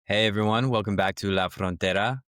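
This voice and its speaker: A man hosting a podcast interview, asking questions in a relaxed way with lots of fillers. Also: fairly low pitch, brisk, over music. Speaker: podcast co-host.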